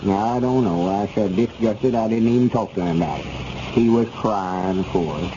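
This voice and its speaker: An actor playing a rural storekeeper in a 1930s radio comedy, speaking with a drawling Arkansas accent and folksy grammar. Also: male voice, on the low side, moderate pace, close to an everyday voice. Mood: worried. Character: Lum, grumbling rural Arkansas storekeeper man. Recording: muffled 1930s radio recording with hiss.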